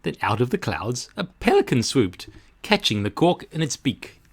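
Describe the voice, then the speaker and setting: A man narrates a children's story in verse. His voice sits at a medium pitch and moves expressively through it, keeping a clear rhyming rhythm. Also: big pitch swings, moderate pace. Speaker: storybook reader.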